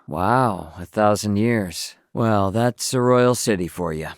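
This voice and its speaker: A man's voice: on the low side, takes it slowly, dry recording, clean studio sound. A man voicing a brash, good-natured young starship captain in a video game, with a breezy, slangy, informal delivery. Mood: impressed, casual. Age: young adult. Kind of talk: English game dub dialogue, casual and expressive.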